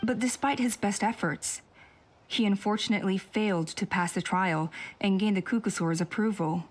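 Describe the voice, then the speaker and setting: A woman voicing a calm, cautious woman elder of a tribe in a video game, speaking in a measured, cautioning way. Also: medium pitch, lightly acted. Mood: recounting.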